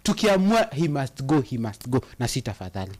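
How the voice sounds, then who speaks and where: A man's voice: mid-range voice, big pitch swings, fairly quick, darkish tone. A Kenyan man co-hosting a casual live chat, reading viewer comments with impassioned, hesitant delivery. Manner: impassioned, reads comments aloud with hesitant fillers. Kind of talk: casual live chat mixing English and Swahili.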